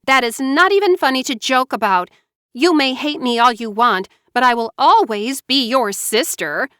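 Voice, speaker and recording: A woman's voice: lively intonation, normal speed, dry recording, studio quality. Woman voicing a furious jealous sister. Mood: indignant. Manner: shrill, accusing yelling.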